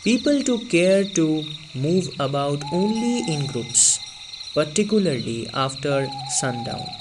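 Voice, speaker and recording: A man reads a storybook tale aloud, unhurried and deliberate. His voice sits a little high and drops lower for suspense. Crickets and insects are clearly heard behind him. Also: noisy background. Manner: slow, deliberate and expressive, sinking low for tense moments. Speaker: story reader. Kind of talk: storybook read-aloud narration.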